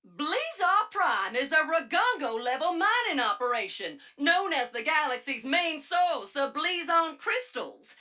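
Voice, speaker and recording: A woman's voice: sits high, very animated, measured pace, few pauses, pushed and bright, heavily acted. A woman voicing a perky, cheerfully wry robot spy guide, narrating video game audio logs in a playful, theatrical way. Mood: chirpy, wry. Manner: theatrical, playful, exaggerated delivery.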